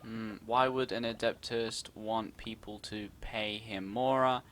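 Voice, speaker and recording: A woman's voice: extremely low pitch, steady tone, measured pace, slight background noise. A woman doing jokey, teasing let's-play commentary, reading out dialogue choices and reacting to a game. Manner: teasing, jokey, prone to giggling.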